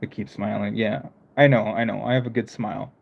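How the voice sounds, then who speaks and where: A man's voice: on the low side, fairly even intonation, fairly quick, vocal fry, muffled recording. A young man streaming live who talks with a mixed American accent, part northern and part southern, chatting loosely with viewers and often stopping to restart his sentences. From United States. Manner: rambling, stops and restarts, talks to chat. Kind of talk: casual live-stream chat.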